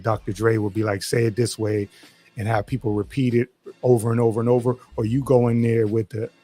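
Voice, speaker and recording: A man's voice: on the low side, warm tone, slightly rough. A man hosting an interview, asking long, rambling questions with many restarts. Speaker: podcast host.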